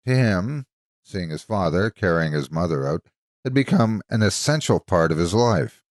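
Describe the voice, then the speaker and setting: A man with a low, deep voice narrates like a seasoned storyteller. His intonation moves widely and dramatically over measured, scripted phrases. The recording is dry and close, with very little room sound. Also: expressive, dry recording. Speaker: story narrator and channel host.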